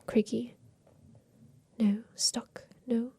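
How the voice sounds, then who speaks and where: A woman voicing a secretive, tender captive girl in a haunted attic, in a hushed, deliberate ASMR roleplay whisper that repeats phrases. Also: fairly high pitch, level tone, slightly rough, full character voice. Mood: tender, curious.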